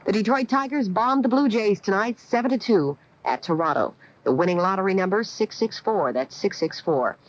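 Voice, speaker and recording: An American woman anchors a scripted local radio newscast. Her voice sits at a middle pitch, heard through a dull, lo-fi old AM radio recording. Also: animated, moderate pace, few pauses. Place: radio studio.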